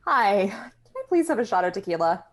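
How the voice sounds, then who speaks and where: A woman's voice: mid-range voice, very animated, fairly quick, natural voice. A woman playing a hesitant, appeasing young wife in a table read, speaking tentatively. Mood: nervous.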